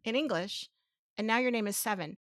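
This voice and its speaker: A woman's voice: fairly high pitch, brisk, no room sound, clean studio sound. A woman hosting a casual podcast, thinking aloud with restarts and rhetorical questions, a native Spanish speaker. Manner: explains step by step to her co-host. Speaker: podcast host.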